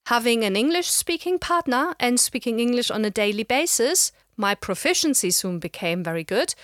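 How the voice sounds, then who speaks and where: A middle-aged woman with a slight German accent, giving a measured, explanatory lecture. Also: on the high side, expressive, a bit bright, dry recording, studio quality. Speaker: language educator. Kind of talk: scripted lecture narration.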